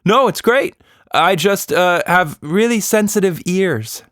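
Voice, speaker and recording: A man's voice: high voice, animated, slow, fairly bright tone, no room sound, studio quality, voice pushed up, heavily acted. An earnest young girl played by a man, with the voice lifted well above his usual register. She is polite and direct, an adventurous child from a storybook. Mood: polite, awkward.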